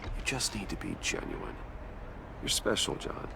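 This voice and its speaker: An actor playing a guarded, level-headed, brooding billionaire hero in a video game, speaking in a measured, careful, earnest way. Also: male voice, noisy background, close to an everyday voice. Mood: reassuring.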